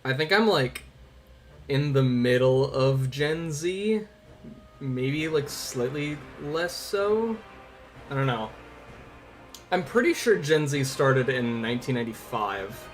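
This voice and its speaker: A man's voice: mid-range voice, animated, takes it slowly. A man in his twenties chatting casually on a livestream, informal and rambling, with some swearing. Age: 20s. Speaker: livestream host. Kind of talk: casual livestream chat with viewers. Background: music.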